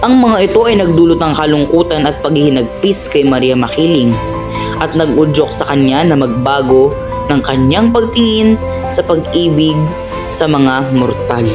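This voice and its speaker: A man acting as radio DJ and narrator, telling a folk tale slowly and deliberately. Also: on the high side. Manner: slow, deliberate storytelling. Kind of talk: storybook narration for a radio play. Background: music and birdsong.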